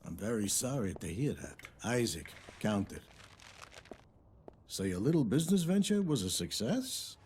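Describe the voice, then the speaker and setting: An actor playing a smug, sly loan shark in a video game cutscene, talking slowly and mockingly. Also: male voice, big pitch swings, a little mellow, slight background noise. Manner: slow, mocking, theatrical, with swooping pitch.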